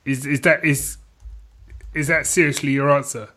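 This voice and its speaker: Slightly high-voiced man in casual banter. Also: steady tone, normal speed, bright, forceful tone. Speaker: podcast co-host.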